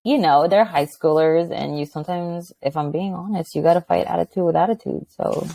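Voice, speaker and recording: A woman guest who is a high school teacher, chatting loudly and animatedly in a casual interview. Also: fairly quick, gravelly, no echo. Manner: loud, chatty, animated, many "like" fillers.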